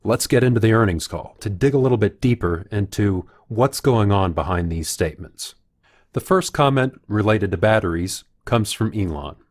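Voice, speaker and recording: A man delivering analytical commentary in a measured, explanatory way, as a video commentator would. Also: lowish voice, lively intonation. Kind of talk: analytical commentary, reading out quotes.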